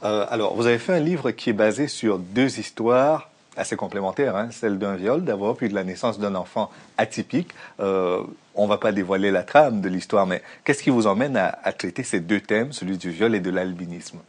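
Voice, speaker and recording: Low-voiced male interviewer. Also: breathy edge. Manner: measured, summarising with fillers. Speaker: interviewer, host. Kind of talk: interview questions.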